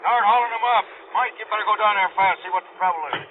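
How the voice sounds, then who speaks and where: Casting: male actor for salvage captain, highish urgent commanding voice, tinny and very noisy vintage radio. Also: fairly even intonation, close to an everyday voice. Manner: brisk, urgent, directing. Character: commanding salvage boat captain handling a diver. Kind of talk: old-time radio drama acting.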